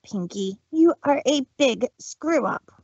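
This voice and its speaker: A brash tomboy female pony, voiced by a woman, sneering and firing off insults in quick bursts. Her pitch swings widely from high to low. Dry, slightly muffled sound. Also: expressive, darkish tone, dry recording, full character voice. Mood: scornful. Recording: dull dry lo-fi stream audio.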